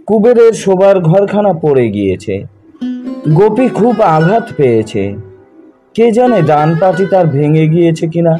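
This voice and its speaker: A male storyteller with a fairly high, soft-edged, mellow voice. He narrates literary fiction expressively and with measured, drawn-out phrasing. Faint sitar-like music plays under the reading. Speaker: audiobook narrator. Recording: music behind the voice.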